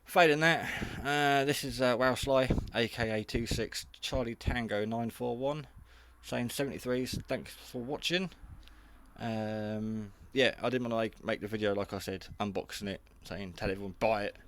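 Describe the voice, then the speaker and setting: A man's voice: mid-range voice, normal speed, fairly bright tone. A man giving a casual, unscripted hobby radio review in a rambling, matter-of-fact, modest way.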